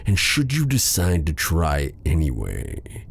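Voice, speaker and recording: A man playing a possessive, silky dark sorcerer in a theatrical fantasy ASMR roleplay, drawing out courtly endearments with quiet menace. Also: rumbling low, wildly swooping pitch, slow pace, vocal fry, a little hiss or hum, full character voice. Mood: smooth, menacing.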